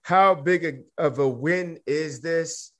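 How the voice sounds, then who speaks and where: A man's voice: fairly high pitch, takes it slowly. A man hosting a sports podcast, reading listener questions and a sponsor ad in an upbeat, casual way. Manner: upbeat, moves the show along, reads sponsor ad. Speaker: podcast host. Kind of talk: casual sports talk and ad read.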